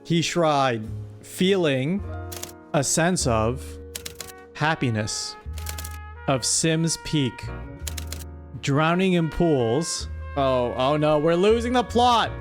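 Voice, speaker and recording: A woman's voice: low voice, lively intonation, drawn-out words, long pauses. A woman hosting a casual livestream, playful and mock-dramatic as she reads out and comments on a story. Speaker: streamer, host. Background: music.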